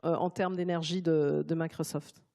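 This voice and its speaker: Moderator, a woman with a mellow, lowish voice, brisk and conversational. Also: level tone, vocal fry. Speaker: panel moderator. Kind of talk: moderating a conference panel.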